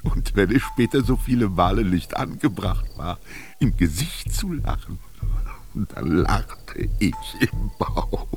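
An older man playing a wry, earthy old Egyptian former goatherd who reminisces and philosophizes in a radio drama, slowly and with dramatic pauses, his voice gravelly and husky. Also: lowish voice, soft background music. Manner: slow, brooding, expressive storytelling with dramatic pauses. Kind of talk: literary radio drama monologue.

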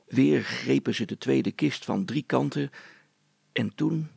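A male storyteller reading a children's tale in a dramatized way. His voice is mid-pitched, husky and full of creak, recorded very dry. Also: slow pace, darkish tone, dry recording. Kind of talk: dramatized children's audiobook narration.

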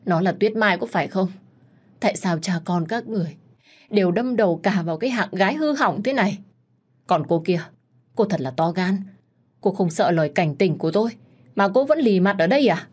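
A woman voicing a furious, scornful middle-aged wife and mother, shouting accusations in a dramatic story reading. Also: medium pitch, lively intonation, brisk, breathy edge. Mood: scornful rage.

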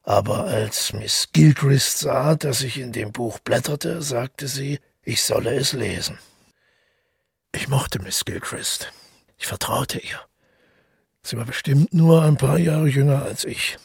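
An actor playing a brooding, haunted man who tells his past in a halting, intimate audio drama monologue with a husky, gravelly voice. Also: medium pitch, no room sound, studio quality, full character voice. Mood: uneasy recollection. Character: brooding, haunted male first-person narrator recalling the past.

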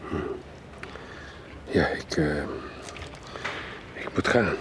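A man's voice: very deep voice, extremely slow, noisy background, heavily acted. An actor playing a frustrated husband in a radio drama, talking to his wife in a chiding, hesitant way. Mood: awkward, evasive. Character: frustrated husband of a troubled wife, impatient, evasive.

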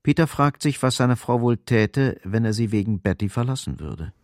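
A man's voice: mid-range voice, lively intonation, moderate pace, no room sound. A man narrating a radio drama soberly, restrained and matter-of-fact. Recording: roomless dry studio, faint music behind. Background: faint music.

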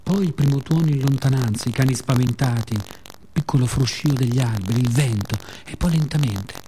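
A man performing a poetic, theatrical radio monologue in a measured, solemn manner with dramatic pauses. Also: mid-range voice, dark tone. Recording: faint ambient background noise.

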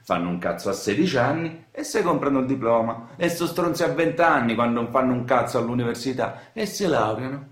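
A man's voice: fairly high pitch, lightly acted. An actor playing a cocky, menacing young man from Rome's outskirts in a radio drama, with a Roman accent and a slangy, hectoring delivery. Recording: roomy echo around the voice.

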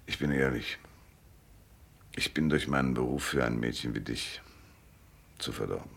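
An actor in a German radio drama playing a world-weary, cynical private detective with a laconic, knowing manner and a deep, gravelly voice. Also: male voice, normal speed, full character voice. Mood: tender, resigned.

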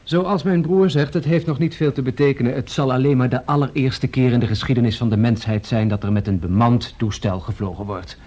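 An actor playing an earnest, patient inventor with a dry irony in a 1970s radio play. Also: male voice, mid-range voice, fairly quick, hardly any pauses, a little mellow, lightly acted. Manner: earnest, patient, dryly ironic. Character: earnest young male inventor brother.

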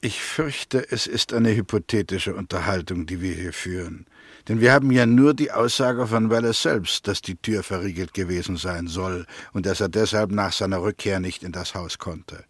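Sardonic, formal barrister, dry sound. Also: male voice, sits low, normal speed, dry recording. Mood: skeptical. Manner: pointed, formal, ironic. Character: opposing barrister, male, skeptical, sardonic, formally courteous. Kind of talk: 1960s German radio play acting.

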